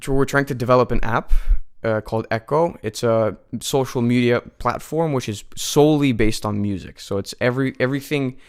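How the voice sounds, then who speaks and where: Young man, nineteen, from the US, smooth clear voice, casual chatty guest, lots of ums and restarts. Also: mid-range voice, a little fast, hardly any pauses. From United States. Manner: chatty, many fillers and restarts. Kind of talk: casual interview chat. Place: radio studio.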